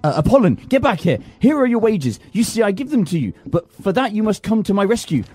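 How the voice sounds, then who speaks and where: A man's voice: slightly rough, no echo. A man playing a ranting bitter pauper. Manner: melodramatic, lurching from shouted rants to low narration. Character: bitter self-loathing poor man, ranting and proud. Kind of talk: comic amateur drama reading.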